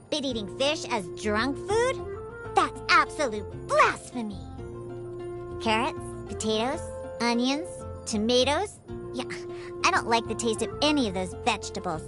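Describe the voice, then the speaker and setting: A very high, unhurried young cat-girl voice from a video game. She is proud and grumpy, turning defensive and stammery when flustered. Faint music plays behind her. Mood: opinionated. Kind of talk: video game character voice lines.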